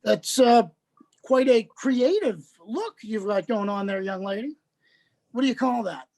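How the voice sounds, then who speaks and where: A woman's voice: fairly high pitch, expressive, unhurried, warm tone. A woman playing an awkwardly friendly, chatty male social worker in a dramatized script reading, hesitant and repeating words. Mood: awkwardly polite.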